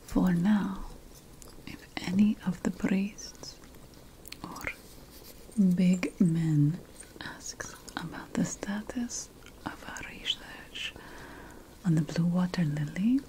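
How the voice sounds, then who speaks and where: Woman doing asmr witch rp, breathy whisper, soft mellow tone, really unhurried, kinda secretive. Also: fairly low pitch, rough recording. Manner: gentle, conspiratorial, addressing the listener as an assistant. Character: secretive ancient witch priestess researching magical potion plants. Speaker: ASMR performer in a fantasy roleplay.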